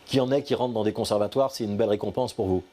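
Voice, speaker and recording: Mellow-voiced TV interviewer. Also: male voice, lowish voice. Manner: quick, conversational questioning. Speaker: TV show host. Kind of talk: studio interview. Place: TV studio.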